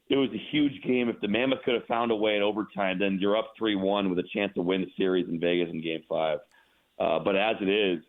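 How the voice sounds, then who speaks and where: A man giving casual sports commentary as a radio guest, halting and repeating words for emphasis over a call. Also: fairly low pitch. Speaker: radio play-by-play announcer, guest. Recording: thin, phone-like narrow-band line.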